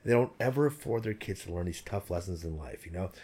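A husky-voiced man talks quickly on the low side, firing off stories and opinions in a blunt, salty way. He leans hard into emphasis and swears freely as he makes his point in a relaxed group chat. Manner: blunt, profane, emphatic, animated. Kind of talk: casual chat, storytelling.

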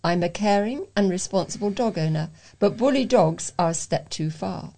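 British woman reading aloud, medium-pitched voice. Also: lively intonation, normal speed, warm tone. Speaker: volunteer newspaper reader. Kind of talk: reading letters aloud for a talking newspaper.

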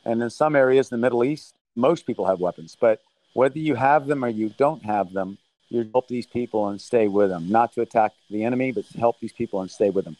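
A middle-aged man who grew up in Thailand, answering interview questions remotely in an earnest, measured way as the leader of a relief mission. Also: mid-range voice, darkish tone, no room sound, rough recording.

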